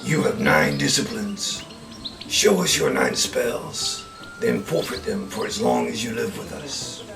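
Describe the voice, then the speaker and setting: Male actor as solemn ritual leader in audio drama, gravelly and animated, declaring formally from off mic over music. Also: mid-range voice, a little slow, heavily acted. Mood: solemn, commanding. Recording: distant mic, music behind.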